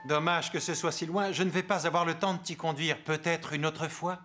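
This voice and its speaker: An actor playing a kind, patient guardian of a pet monkey in a cartoon, friendly and earnest. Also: male voice, fairly high pitch, fairly even intonation, fairly quick, pushed and bright, soft background music. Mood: regretful.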